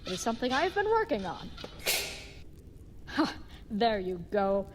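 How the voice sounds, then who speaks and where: A woman's voice: fairly high pitch, very animated, moderate pace, big-room sound, background noise. A woman playing an earnest, dim-witted young knight who speaks in formal, chivalrous phrases in an audio drama. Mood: gentle, sincere. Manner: formal, stilted, uncontracted, chivalrous speech.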